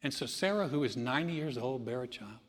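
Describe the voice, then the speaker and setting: A man preaching a sermon as pastor, moving from easy conversational teaching into loud, emphatic delivery. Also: medium pitch, lively intonation, fast talker, in a large hall.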